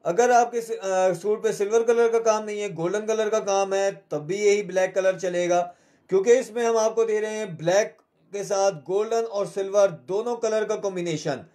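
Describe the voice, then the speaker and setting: A man's voice: high voice, moderate pace, dark tone. A man with a Pakistani accent hosting a live shopping show, selling in a repetitive, urging salesman's manner.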